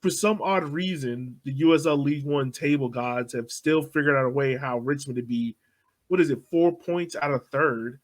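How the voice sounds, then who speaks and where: A man co-hosting a casual soccer podcast, thinking aloud in an animated way. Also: mid-range voice, normal speed. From United States. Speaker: podcast co-host. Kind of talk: casual sports chat.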